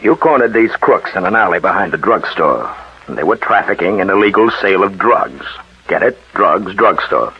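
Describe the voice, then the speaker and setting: An actor playing a shrewd, steady detective in a 1940s radio drama, assured and insistent as he explains. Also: deep voice, normal speed, a little hiss or hum. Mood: assured, explanatory. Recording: muffled, husky-sounding 1940s radio recording.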